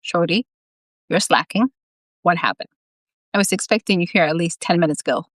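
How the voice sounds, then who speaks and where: A woman's voice: lowish voice, normal speed, no room sound, close to an everyday voice. Storybook cat boss, male, blunt and teasing female reader, dry close recording. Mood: bored, scolding. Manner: blunt, teasing, matter-of-fact. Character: bored, bossy, mocking male cat boss.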